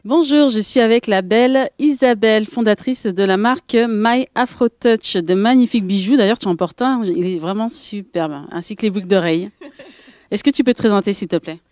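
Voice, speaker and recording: A woman's voice: medium pitch, normal speed, darkish tone, no echo, faint music behind. A woman hosting a TV interview, upbeat and full of compliments. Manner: enthusiastic, complimentary. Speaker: TV show host.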